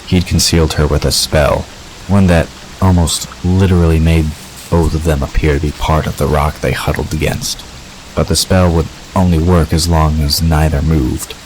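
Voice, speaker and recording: Extremely deep-voiced male story narrator. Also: darkish tone, a little hiss or hum, rough recording. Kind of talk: scripted fiction narration.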